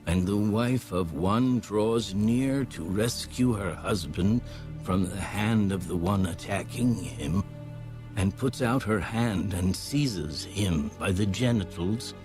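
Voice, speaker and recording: A man narrating scripture from a script, solemn and deliberate, with measured phrasing. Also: deep voice. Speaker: audio Bible narrator. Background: background music.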